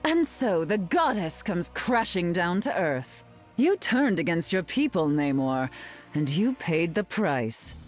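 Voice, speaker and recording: A woman's voice: lowish voice, sing-song, takes it slowly, soft background music. An actress playing a haughty, sardonic, glamorous telepathic queen in a video game, drawling with dry mockery. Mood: imperious, mocking. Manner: haughty, drawling, dryly mocking. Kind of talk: video game character voice lines.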